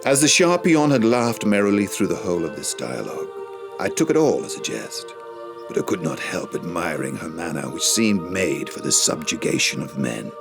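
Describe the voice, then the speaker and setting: An ageing, worldly seducer in a period drama, looking back with rue. The voice is low and creaky, every phrase measured and literary, with music clearly playing behind it. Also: male voice, very animated, full character voice. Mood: rueful. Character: ageing Casanova recounting his love affairs, worldly and rueful. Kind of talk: dramatised memoir narration.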